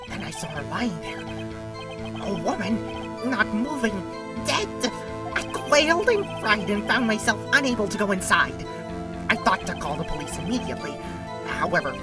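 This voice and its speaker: A woman's voice: mid-range voice, recorded from a distance, natural voice. A woman playing a nervous, fussy middle-aged male witness who testifies in a careful, storylike way in a game fandub.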